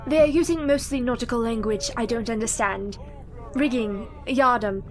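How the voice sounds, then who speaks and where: A woman's voice: a little high, moderate pace, natural voice. A woman playing a brave, composed young Victorian wife in a radio play, speaking formally and earnestly. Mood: entranced reporting.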